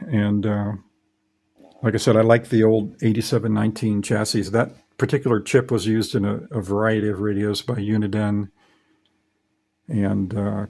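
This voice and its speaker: A man's voice: fairly low pitch, a little mellow. A middle-aged man who hosts a ham radio hobby vlog, explaining things in a casual, matter-of-fact, conversational way. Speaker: host, amateur radio hobbyist.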